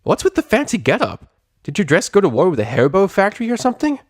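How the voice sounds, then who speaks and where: A man's voice: sits high, huge pitch swings, fast talker, no room sound, studio quality, pitched above the performer's own voice, full character voice. A man voicing a brash, irritable young female pegasus pony from a cartoon story, with a cracking voice and slangy, blustering delivery. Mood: brash, startled. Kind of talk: animated character voice in a story reading.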